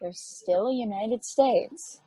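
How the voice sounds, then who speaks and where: A woman's voice: fairly high pitch, very slow, warm tone, slightly rough, no room sound, heavily acted, rough recording. A woman playing a baffled, questioning space probe in an amateur table read of a play. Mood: incredulous.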